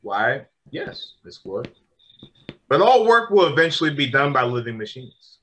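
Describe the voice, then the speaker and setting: Radio drama businessman, male actor: brisk, cost-minded, forceful, medium pitch. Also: big pitch swings. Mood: assured. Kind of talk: old-time radio drama acting.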